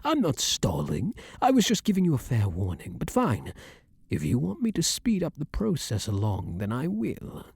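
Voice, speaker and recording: Guy doing a vampire king lover, breathy and formal, close dry asmr sound, pitch moves around a lot. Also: wildly swooping pitch, moderate pace, a little mellow, no echo, exaggerated voice. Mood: concerned, pleading. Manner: courtly, archaic, formal phrasing. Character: male vampire king, ardent, formal, possessive yet protective lover. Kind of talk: intimate ASMR roleplay acting.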